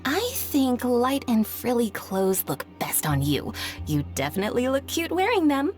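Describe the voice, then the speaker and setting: High-voiced, doting shopkeeper. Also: female voice, sing-song, unhurried, darkish tone, soft background music. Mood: doting, businesslike. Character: friendly, doting young woman shopkeeper. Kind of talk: video game voice acting.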